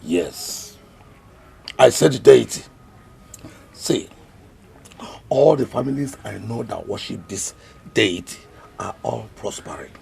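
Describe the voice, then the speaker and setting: An actor playing a scheming uncle who speaks slowly and deliberately. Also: male voice, fairly low pitch, lively intonation, a little mellow, full character voice. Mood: persuasive. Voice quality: gravelly, slow and deliberate. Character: scheming uncle pushing a deity on his kin.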